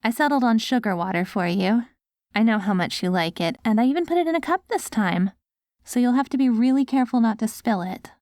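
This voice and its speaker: A woman playing an affectionate post-apocalyptic wife in an ASMR audio roleplay, coaxing and gently praising her ghoul husband. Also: on the high side, expressive, a little fast, no echo, studio quality, natural voice. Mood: gentle, coaxing.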